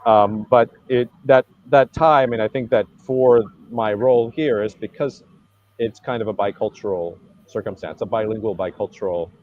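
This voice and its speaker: A man, an event organizer, talking in a casual interview, halting and restarting often with many fillers. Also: on the low side, darkish tone, no room sound, highs cut off. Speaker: convergence organizer. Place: Thailand.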